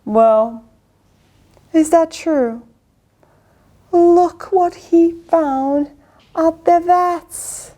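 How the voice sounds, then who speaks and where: A woman reading a picture book aloud to children, expressive and swooping, with lots of exclamations. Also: extremely high pitch, drawn-out words, long pauses. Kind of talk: read-aloud storytelling to children.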